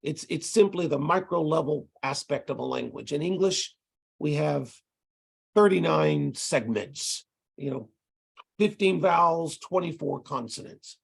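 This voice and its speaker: A middle-aged man with a Texas accent, giving a relaxed, conversational lecture over a video call with frequent ums and restarts. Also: a little high, measured pace, vocal fry, slightly rough. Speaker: ESL instructor presenting to tutors.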